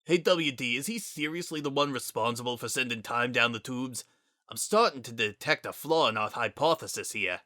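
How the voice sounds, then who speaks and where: Man playing a bright-voiced wisecracking skeleton. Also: mid-range voice, normal speed, dry recording, clean studio sound, lightly acted. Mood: amused. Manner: casual, slangy, joking. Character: easygoing wisecracking skeleton, teasing and fond. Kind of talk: dramatic reading of fan fiction.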